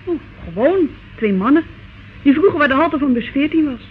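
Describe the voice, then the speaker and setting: A man's voice: extremely high pitch, lively intonation, moderate pace. A male actor playing a cheeky, flustered young café delivery worker in an old radio drama, hesitant and defensively offhand. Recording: tinny, hissy old radio recording.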